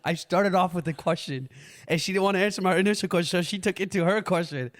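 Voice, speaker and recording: Young male podcast host, fast talker, playful teasing, mixes in Hindi. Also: sits high, a bit bright. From United States. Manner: playful teasing, quick Hindi-English code-switching. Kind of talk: casual chat.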